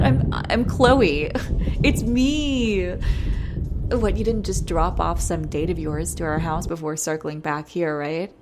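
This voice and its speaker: A woman playing a nervous, lovesick young pop star in an intimate audio roleplay, speaking in a halting, flustered way. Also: mid-range voice. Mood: shy, flustered delight. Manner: flustered, halting, self-interrupting, breathy sighs.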